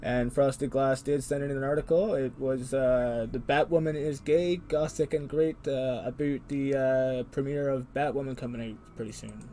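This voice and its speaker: A man's voice: medium pitch, steady tone, normal speed, few pauses, mellow, a little hiss or hum. A man hosting a casual livestream, reading the chat aloud in a joking way and laughing often. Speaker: stream host.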